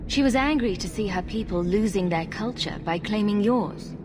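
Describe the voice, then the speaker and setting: An actress playing a calm, diplomatic woman hero, reassuring and direct, in a video game cutscene. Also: normal speed, lightly acted. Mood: firm, probing. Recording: loud ambient rumble behind the voice.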